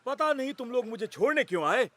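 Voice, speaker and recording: A man's voice: extremely high pitch, expressive, brisk, a bit bright, faint music behind. A man voicing a pompous, bossy older fire station officer in a cartoon, a know-it-all. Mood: indignant.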